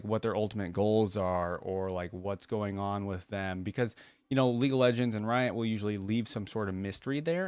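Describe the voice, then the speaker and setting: Low-voiced man, casual video commentary. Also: dry recording. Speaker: YouTube reaction host.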